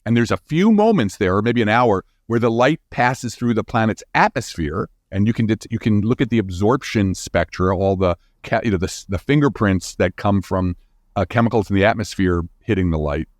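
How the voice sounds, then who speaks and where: A man's voice: fairly low pitch, animated, normal speed, dry recording, clean studio sound. A man explaining science as an interview guest in an informal, enthusiastic, conversational way.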